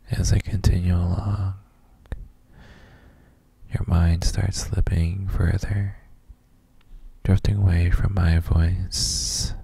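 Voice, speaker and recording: A man playing a gentle, doting boyfriend in a sleep ASMR roleplay, murmuring soft reassurances in a breathy, gravelly near-whisper with hesitant hums. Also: deep voice, no room sound, full character voice.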